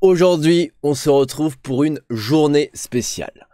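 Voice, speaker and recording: Young male streamer, rambling slangy chatter, blunt opinions, slightly high voice, very dry close-mic sound with no room. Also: a little slow, mellow, dry recording. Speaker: streamer reacting to a TV show. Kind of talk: casual slangy reaction commentary.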